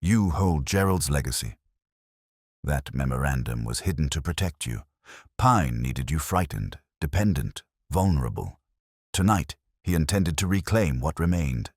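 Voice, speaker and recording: A man voicing a commanding, shrewd detective in an audiobook, speaking in deliberate, authoritative statements. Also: rumbling low, very animated, husky, dry recording, clean studio sound, full character voice. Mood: grave.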